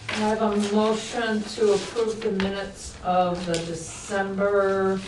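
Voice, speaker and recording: A woman chairs a town board meeting, reading out rules and calling votes in a formal, measured way. She sounds picked up from well away from the microphone. The room is echoey and a clear hiss sits under her voice. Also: medium pitch, level tone. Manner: formal, measured, with light asides. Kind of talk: reading meeting procedure aloud. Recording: echoey, distant, noisy public-access broadcast.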